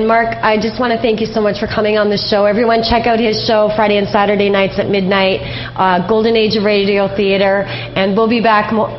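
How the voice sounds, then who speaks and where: A woman hosting a casual radio interview, putting questions to a guest and addressing listeners. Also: medium pitch. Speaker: radio show host, interviewer. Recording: dull lo-fi audio.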